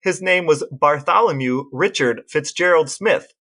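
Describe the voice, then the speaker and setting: Higher-pitched man, casual podcast co-host. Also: a little slow, fairly bright tone. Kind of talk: casual chat with read-out quotes and trivia.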